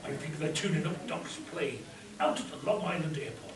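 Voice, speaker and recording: A man's voice: a little high, lively intonation, fairly quick, over a noisy crowd, lightly acted. A man playing a self-assured, loyal lawyer sidekick in a live spoof radio play. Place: convention hall before a live audience. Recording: echoey distant mic, audience noise.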